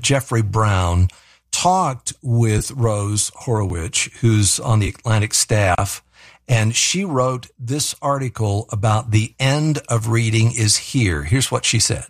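Male radio host, casual interview chat, mid-range voice. Quick encouraging interjections. Dry, close studio sound. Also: mid-range voice, dry recording.

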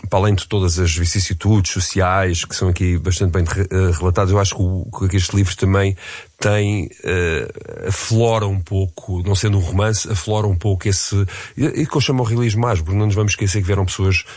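A man's voice: deep voice, fairly even intonation, brisk, darkish tone, no room sound. A man from Brandoa with a European Portuguese accent, a guest in an interview who reflects at length, wandering between thoughts and laughing lightly now and then. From Portugal, Brandoa in Amadora. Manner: discursive, musing, with light laughter. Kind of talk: interview answers.

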